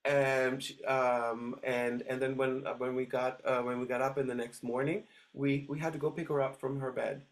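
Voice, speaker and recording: A man's voice: mid-range voice, little pitch movement, moderate pace, few pauses. A middle-aged man from Switzerland, a dog trainer guest telling stories in a casual interview, hesitant and full of restarts. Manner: hesitant, many restarts and fillers.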